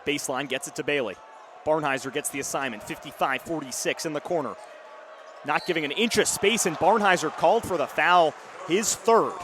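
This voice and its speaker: A man's voice: a little high, brisk. A man in his early twenties calling live basketball play-by-play in punchy, clipped phrases. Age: early 20s. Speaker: radio play-by-play basketball announcer. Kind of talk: live sports commentary. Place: courtside in a basketball arena. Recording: loud arena crowd and court noise. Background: crowd, bouncing basketball.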